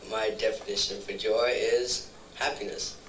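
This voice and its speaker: A man's voice: lowish voice, fairly even intonation, a little slow. A man from Nigeria giving a brief, plain answer. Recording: distant, roomy, noisy recording.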